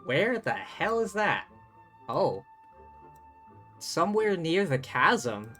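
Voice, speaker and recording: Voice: mid-range voice, big pitch swings, slow pace, a bit bright, faint music behind. A game streamer giving casual live commentary, muttering informally to themselves while playing. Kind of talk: casual live gameplay commentary, reading dialogue options aloud.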